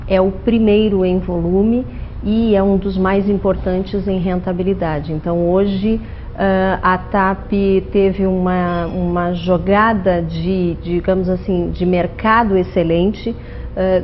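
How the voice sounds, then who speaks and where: A woman's voice: on the low side, fairly even intonation, measured pace, a little hiss or hum. A woman born in Portugal, working as a regional airline manager, speaking fluently and in a businesslike way as a TV interview guest. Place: TV studio. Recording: dull TV audio.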